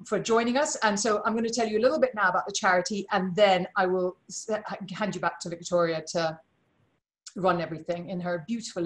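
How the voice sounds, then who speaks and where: A middle-aged woman from Malawi speaks as a webinar host over a video call from her living room. Her voice sits at an average pitch. She talks informally, with effusive and emphatic phrasing, as she introduces and appeals to her audience. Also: fairly bright tone. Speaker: webinar host and charity board chair. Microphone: video call computer microphone.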